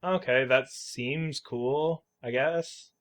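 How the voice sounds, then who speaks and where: A man with an average-pitched voice chats as a podcast co-host. His delivery is informal and hedging, as he thinks out loud about games in a casual, conversational style. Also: drawn-out words.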